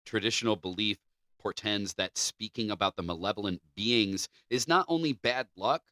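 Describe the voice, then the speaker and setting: A man's voice: fairly low pitch, normal speed, pushed and bright, dry recording. A man co-hosting a podcast, moving between reading scripted narration and informal chat. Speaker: podcast co-host.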